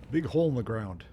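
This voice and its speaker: A man's voice: medium pitch, warm tone. A man chatting casually while playing an online game, playfully muttering to himself as he goes. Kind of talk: casual gameplay chat. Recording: clear background noise with game sounds.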